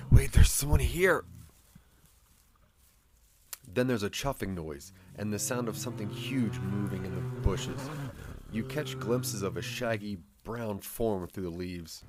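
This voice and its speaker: A man narrating an audio drama in the second person, with deliberate, suspenseful, dramatic delivery. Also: fairly low pitch.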